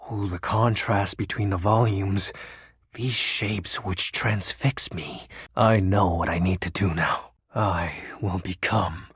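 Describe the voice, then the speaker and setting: A man's voice: low voice, gravelly, fairly bright tone, slightly rough, no echo, clean studio sound, exaggerated voice. A man voicing the personification of darkness in a video game, a shy, sentimental, awkward self-styled shadow lord who speaks hesitantly with bursts of theatrical brooding. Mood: awed, tender.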